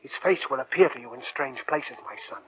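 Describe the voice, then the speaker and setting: An actor playing a grave older professor who gives ominous, emphatic warnings in a 1940s radio drama. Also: male voice, mid-range voice, brisk, close to an everyday voice. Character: grave, foreboding older male professor warning his student. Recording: muffled old radio recording, faint noise.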